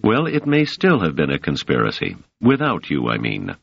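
A man's voice: very deep voice, huge pitch swings, moderate pace, creaky, breathy edge, no room sound, exaggerated voice. A man reading an audiobook voices a defensive, tired male scholar in close to his own voice. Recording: dull, dry, lo-fi sound.

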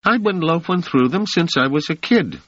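A man's voice: a little high, expressive, moderate pace, fairly bright tone, no room sound, highs cut off, pitched above the performer's own voice. A man voicing a scrappy, streetwise teenage boy who talks in careless slang. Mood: offhand, cocky. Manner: slangy street grammar, offhand.

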